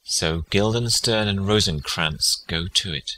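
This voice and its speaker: Male actor as faithful attentive friend, deep voice, piercingly bright tone, deferential, Shakespearean drama. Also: a little slow. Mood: earnest, astonished. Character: loyal, attentive friend of the prince. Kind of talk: classical Shakespearean dramatic reading.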